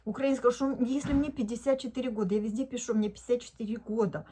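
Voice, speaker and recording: A woman in her fifties, originally from Odesa, vents in a chatty home video diary. Her voice sits a little high. She is recorded indoors in a living room on a phone held close. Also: fairly even intonation, fast talker, mellow, a little hiss or hum. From Odesa, Ukraine. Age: 50s. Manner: chatty and indignant, bursts into laughter. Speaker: vlogger. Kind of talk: casual video diary monologue. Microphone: phone camera at arm's length.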